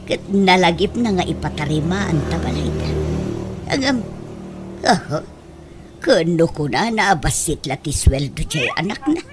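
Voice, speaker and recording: An actress playing an envious, scheming, gossipy village woman in an Ilocano comedy radio drama, with exclamatory, drawn-out theatrical reactions. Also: fairly low pitch, animated, background noise. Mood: skeptical musing.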